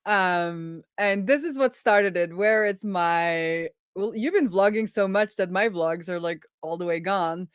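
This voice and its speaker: A woman's voice: fairly low pitch, unhurried, few pauses, clear, resonant tone, no room sound, clean studio sound. A woman co-hosting a casual livestream chat in an enthusiastic, playful manner. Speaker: livestream co-host.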